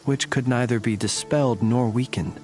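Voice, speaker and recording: A man's voice: medium pitch, normal speed, darkish tone, soft background music, lightly acted. Man acting a courtly, world-weary warrior, formal speech. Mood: measured, explaining. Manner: formal, archaic, eloquent phrasing. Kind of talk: video game voice acting, scripted dialogue.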